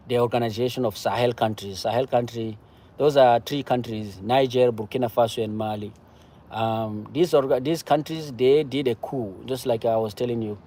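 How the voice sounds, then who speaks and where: A man from Cameroon with a Cameroonian accent, speaking off the cuff about politics in a halting, repetitive, emphatic way. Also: mid-range voice, darkish tone. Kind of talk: impassioned political discussion, casual and unscripted.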